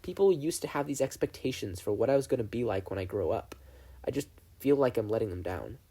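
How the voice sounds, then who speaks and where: Man reading as an anxious, husky-voiced boy. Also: mid-range voice, lively intonation, fairly quick, dark tone. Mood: downcast. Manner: hesitant, vulnerable. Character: anxious, self-doubting boy.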